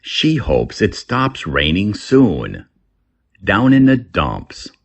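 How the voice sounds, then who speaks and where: Deep, gravelly, slow-reading man. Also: wildly swooping pitch. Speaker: reader and English teacher. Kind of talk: scripted instructional reading.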